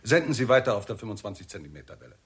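An actor playing a cool, authoritative lead scientist in a 1960s German radio play, speaking in a measured, deliberate way. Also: male voice, lowish voice, big pitch swings. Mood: firm, resigned.

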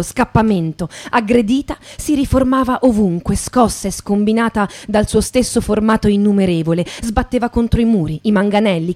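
A woman's voice: a little high, darkish tone. A woman performer giving a dramatic literary reading in the style of radio theatre. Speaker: stage performer. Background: music.

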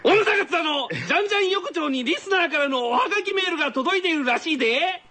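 A man's voice: extremely high pitch, lively intonation, fast talker, pushed and bright. A man with a Kansai accent bantering casually on a radio show as host, riffing and exaggerating for comedy. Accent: Kansai Japanese.

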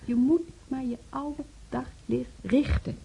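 An actress playing a pious, chattering widowed church volunteer in a radio drama, stammering and repeating herself with a husky, breathy voice. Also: female voice, high voice, steady tone, measured pace, mellow, full character voice. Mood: preachy. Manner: rambling, stammering, repeats herself, earnestly preachy. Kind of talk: naturalistic radio drama dialogue.

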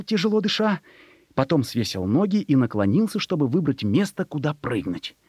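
Husky male storybook narrator. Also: a little high, darkish tone, no echo, studio quality.

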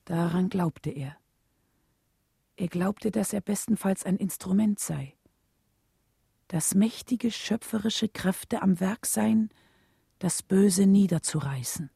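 Reflective female narrator, husky, dark warm tone, storytelling, radio drama. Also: medium pitch, takes it slowly, long pauses, no room sound, clean studio sound, full character voice. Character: Coretta, reflective wife narrating her husband's struggle.

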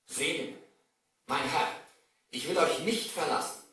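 A man's voice: a little high, a little slow, bright, forceful tone. A man playing a loyal, devout and hopeful manservant, earnest and deferential, in a 1970s children's radio play. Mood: devoted, reassuring. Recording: very echoey, distant vinyl radio-play transfer.

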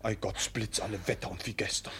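An actor playing a simple, warm-hearted young peasant lover with an emotional manner in a radio drama. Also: male voice, lowish voice, little pitch movement, fairly quick, a little hiss or hum. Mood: remorseful, tender. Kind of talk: 1950s German radio drama acting.

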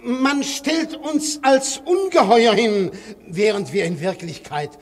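An actor playing an evasive, self-righteous anarchist orator who declaims and falters when cornered, in a radio play. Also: male voice, high voice, takes it slowly. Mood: earnest oratory. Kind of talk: German radio play acting. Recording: echoey hall with long reverb.

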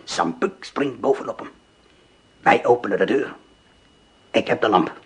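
An actor playing a hard, wary resistance plotter in a radio drama, speaking in a terse, probing, conspiratorial manner. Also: male voice, medium pitch, animated, a little slow, slightly rough. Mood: tense, conspiratorial.

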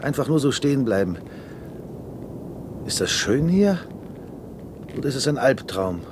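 An actor playing a wistful older deputy in a 1970s German science-fiction radio play, musing hesitantly with a touch of irony. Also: male voice, very animated, unhurried. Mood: wistful wonder. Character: wistful, wondering older male deputy with stalled career. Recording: noisy 1970s radio drama recording, rumble.

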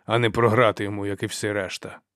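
A man voicing a steady, stubborn warrior chief in an audiobook, speaking in a measured, firm manner. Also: on the low side, dry recording, studio quality, close to an everyday voice. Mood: stern challenge.

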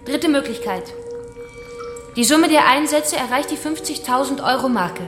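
A high-voiced female kidnapper from a radio crime drama, coolly mocking and matter-of-fact as she runs her betting game. Her voice echoes in the room over clear music. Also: animated, normal speed. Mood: cool, explanatory. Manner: controlled, taunting, matter-of-fact. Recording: echoing room with music behind.

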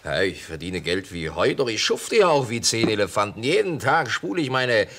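Hearty, low-voiced salesman. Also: sing-song, normal speed, fairly bright tone, heavily acted. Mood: boastful, breezy. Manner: hearty, self-satisfied, expansive. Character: jovial, boastful travelling textile salesman, naive and sentimental. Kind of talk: German radio play acting.

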